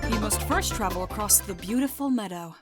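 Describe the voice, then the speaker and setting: A woman narrating a story in an expressive, theatrical storybook style. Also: mid-range voice, brisk, loud music behind the voice.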